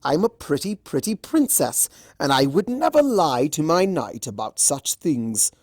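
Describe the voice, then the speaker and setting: Narrator doing smug theatrical male chimera trickster, teasing, storybook read, pitch all over the place, drags out words. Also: sing-song, no room sound, studio quality. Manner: theatrical, teasing, drawn-out emphasis. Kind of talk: storybook character voicing.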